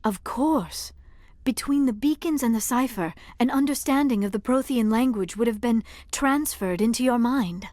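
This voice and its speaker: A woman's voice: on the high side, normal speed, darkish tone, no echo, clean studio sound, close to an everyday voice. A woman playing an earnest, scholarly squad member in a sci-fi game, with formal, precise phrasing.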